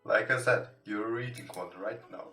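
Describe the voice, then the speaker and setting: A man who is not a native English speaker reads visual novel text aloud as a let's play host, haltingly and with stumbles over words. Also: on the low side. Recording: roomy echo, distant from mic. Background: soft guitar game music.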